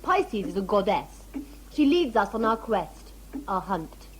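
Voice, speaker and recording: A woman's voice: medium pitch, big pitch swings, unhurried. A woman playing an earnest, blunt savage huntress and devoted servant in a sci-fi audio drama, with formal, literal speech. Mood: earnest, proud. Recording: noisy amateur audio-drama tape.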